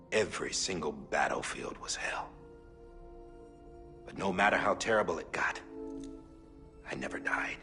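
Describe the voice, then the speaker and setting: An actor playing a grim middle-aged man recording a measured confession in a video game cutscene. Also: rumbling low, gravelly, heavily acted. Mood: resigned. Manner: grave, measured confessional monologue. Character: grim middle-aged male director, resolved. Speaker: agency director recording a confession. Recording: game score playing loudly behind.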